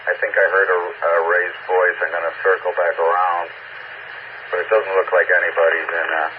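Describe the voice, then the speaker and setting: Deep-voiced policeman on a tinny radio. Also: level tone, normal speed, background noise. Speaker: police officer in the field. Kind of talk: informal radio report.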